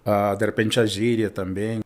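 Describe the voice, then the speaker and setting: A man's voice: on the low side, little pitch movement, normal speed. Man from Peru, Peruvian Spanish accent, Spanish teacher, patient explainer, casual chat. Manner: explanatory, checks in with "certo?" and "né?".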